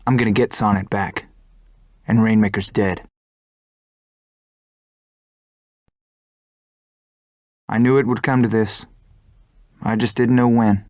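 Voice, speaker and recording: An actor playing a determined young fighter pilot hero in a video game, earnest and resolute, recorded dry over music. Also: male voice, fairly low pitch, steady tone, normal speed, a little mellow, no echo, natural voice. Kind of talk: video game cutscene acting and dramatic monologue.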